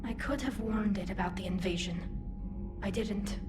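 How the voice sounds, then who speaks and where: A woman's voice: medium pitch, normal speed, over loud music, close to an everyday voice. An actress voicing an earnest, hesitant young alien woman, a self-doubting admiral in a video game cutscene. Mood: matter-of-fact, regretful. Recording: roomy echo with clear background noise.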